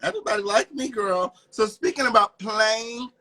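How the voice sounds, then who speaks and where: A man's voice: sits high, moderate pace, sharp tone. An American man in casual, gossipy confrontation, sassy and slangy, in the role of a talk host. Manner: sassy, slangy, addresses listener as girl.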